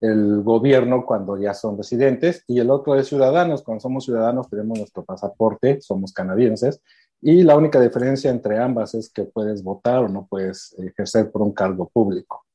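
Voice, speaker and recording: A man's voice: on the low side, normal speed, mellow, breathy edge, highs cut off. A man from Mexico with a Mexican Spanish accent, explaining immigration as an interview guest. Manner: explanatory, many fillers and restarts. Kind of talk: conversational explanation.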